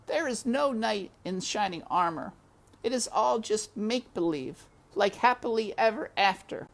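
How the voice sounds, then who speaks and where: High, plaintive little storybook mouse. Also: male voice, lively intonation, unhurried, vocal fry, fairly bright tone, exaggerated voice. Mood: despairing. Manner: pleading, plaintive cries. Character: small young male mouse, earnest, anguished dreamer.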